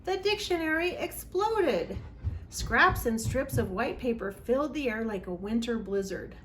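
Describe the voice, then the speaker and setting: Woman doing storytime for kids, highish voice, expressive and dramatic, bit of background noise. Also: unhurried. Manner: expressive, dramatic emphasis on sound words. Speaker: children's library read-aloud host. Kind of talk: animated storybook read-aloud for children.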